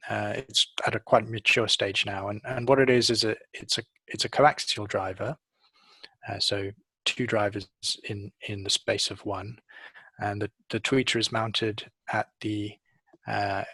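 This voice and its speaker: A middle-aged man giving a measured, hesitant technical explanation in an interview, speaking into a headset. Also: fairly low pitch, level tone, sharp tone, husky, dry recording. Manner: hesitant, measured, pausing often. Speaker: audio engineer, interviewee. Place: office showroom, on video call.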